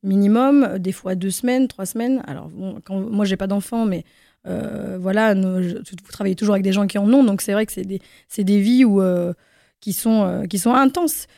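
A young woman with a mellow, warm voice speaks casually and hesitantly, stopping often with fillers and restarting her sentences. She is a singer answering questions in a radio interview. The recording is dry and close. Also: medium pitch, moderate pace, vocal fry, no room sound. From Guatemala. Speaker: singer and actress, interview guest.

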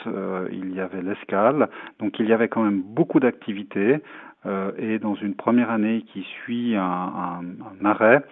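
Halting man, phone-like sound. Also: on the low side. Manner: measured, halting delivery with many hesitations. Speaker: tourism foundation president, interviewee. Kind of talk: interview answers, formal spokesman talk. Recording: phone-like, narrow-band radio sound.

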